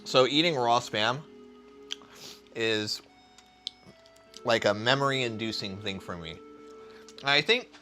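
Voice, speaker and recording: A man's voice: medium pitch, slow pace, long pauses, bright, forceful tone, soft background music. Crude joking chef streamer, man. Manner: crude, joking, profane, talks to chat. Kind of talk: casual livestream cooking chat.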